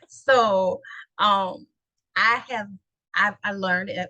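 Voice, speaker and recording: A woman with a New Orleans accent hosts a relaxed interview chat. She talks in an animated, playful way, full of laughter and slang. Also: medium pitch, dry recording, rough recording. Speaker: host.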